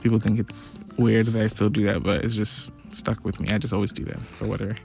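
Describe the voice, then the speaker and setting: A young man from Ghana talking casually to his viewers in a relaxed, chatty way. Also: lowish voice, brisk, gravelly, soft background music. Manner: relaxed, chatty, with many restarts and fillers. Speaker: vlogger. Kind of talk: casual talk to camera.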